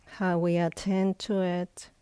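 A woman leading a guided meditation as a teacher, speaking in short phrases with long, unhurried pauses. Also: fairly low pitch, flat delivery, dark tone, clean tone, dry recording.

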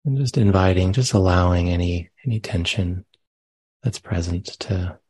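A man leading a guided meditation as a teacher, speaking gently and unhurriedly with long pauses between phrases. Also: low voice, a little hiss or hum. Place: meditation center.

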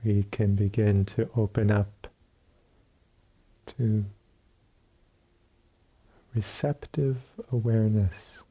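A man leading a guided meditation as a teacher, speaking calmly in short phrases with long pauses and a soft, husky voice. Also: fairly low pitch. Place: meditation retreat room.

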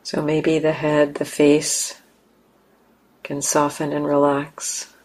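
A woman leading a guided meditation, speaking calmly and gently with long, unhurried pauses. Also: low-pitched, poor audio. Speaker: meditation teacher.

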